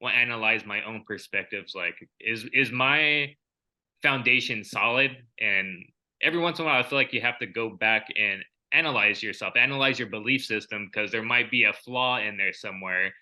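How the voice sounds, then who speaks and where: Bright-voiced man musing casually. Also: lowish voice, moderate pace, poor audio. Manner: reflective, rambling, many fillers. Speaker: podcast co-host. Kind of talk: casual chat.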